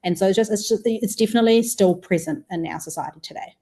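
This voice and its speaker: A woman's voice: mid-range voice, fast, mellow. A woman in her twenties with a New Zealand accent, chatting casually as an interview guest. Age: 20s. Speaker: podcast guest, food entrepreneur.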